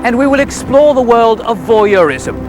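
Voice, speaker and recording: Pompous, suavely depraved knighted presenter, mock documentary, very animated delivery with music behind. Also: male voice, extremely high pitch, moderate pace. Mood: grandiose. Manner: pompous, grandiose.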